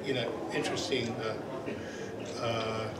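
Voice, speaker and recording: A man with a Serbian accent, a native Serbian speaker, chairing and opening a conference session, halting with many fillers and restarts, on a portable mic. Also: medium pitch, fairly even intonation. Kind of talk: conference presentation. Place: conference hall. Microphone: portable microphone. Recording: echoey hall, from far off, noisy.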